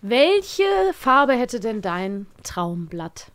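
A woman from Cologne co-hosts a relaxed podcast, speaking at an ordinary mid pitch. She is cheerful and quick-witted, trading easy banter about favourite children's stories. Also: clean tone, no echo. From Cologne, Germany. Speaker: podcast co-host. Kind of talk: casual chat about radio plays.

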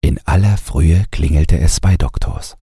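A man narrating a bedtime audiobook in a calm, scripted storytelling style, close to the microphone. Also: rumbling low, measured pace, husky, dry recording.

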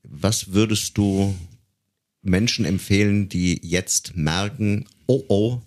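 A man hosting an interview, asking questions in a deliberate, searching, conversational way. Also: lowish voice, darkish tone. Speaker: interviewer, podcast host.

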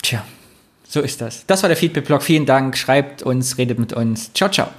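A man's voice: medium pitch, expressive, normal speed. A man co-hosting a casual podcast, reading listener comments aloud and telling anecdotes in a chatty way. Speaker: podcast co-host.

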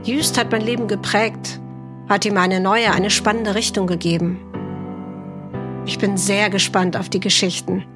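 A woman's voice: medium pitch, steady tone, a little slow, over music. A middle-aged woman from a German North Sea island, hosting a podcast with narration and conversational interviewing. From Juist, North Sea island in Germany. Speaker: podcast host.

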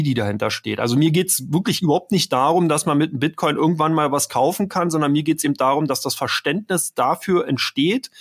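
A middle-aged man from Berlin talks in a somewhat high voice as the guest of an interview. He explains at length, rambling and circling back with fillers. The sound is dry and close. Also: no room sound. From Berlin, Germany. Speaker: trading expert guest. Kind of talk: conversational interview.

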